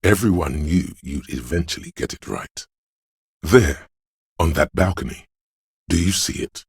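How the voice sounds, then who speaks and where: An actor voicing a slick, flashy hustler as a video game inner voice, with a very deep gravelly voice and a smooth-talking, theatrical, cocksure manner. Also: male voice, expressive, unhurried, no echo, clean studio sound, exaggerated voice. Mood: showy.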